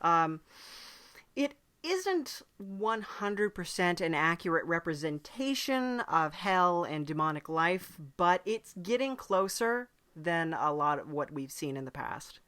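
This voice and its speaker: A woman's voice: lowish voice, big pitch swings, slow, a bit bright, no echo. A woman hosting a casual comedy podcast interview, chatty, agreeable and a little hesitant. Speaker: podcast host.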